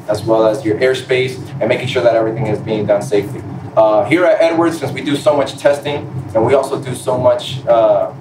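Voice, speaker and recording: A man in his twenties from Puerto Rico and Florida, explaining his job as an airman on a panel, politely and off the cuff. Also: mid-range voice. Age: 20s. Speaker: panel guest, air traffic controller in the Air Force. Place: large indoor room, seated on a stage. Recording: roomy echo, distant mic, noisy room.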